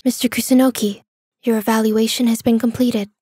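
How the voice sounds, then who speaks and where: A woman's voice: a little high, little pitch movement, brisk, mellow, husky, dry recording, clean studio sound. A woman playing a polite, detached clerk in a manga dub, speaking in a formal, businesslike way. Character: polite, detached female clerk at an appraisal office. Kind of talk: scripted manga dub acting. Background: background music.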